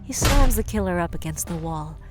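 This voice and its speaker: A woman's voice: on the low side, animated, fairly quick, over loud music. A woman narrator delivering dramatic scripted narration for an audio story.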